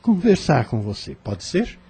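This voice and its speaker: A man's voice: a little high, sing-song, a little slow, dark tone, exaggerated voice. A man playing a polite, determined, skeptical fellow in an audio drama, formal and reserved. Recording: clearly noisy background.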